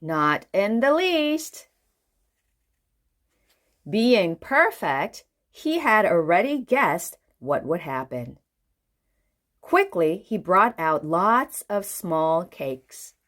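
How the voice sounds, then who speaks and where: A woman reading a children's book aloud in an expressive way, putting questions to the listener. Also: lowish voice, extremely slow. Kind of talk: animated read-aloud storytelling for children.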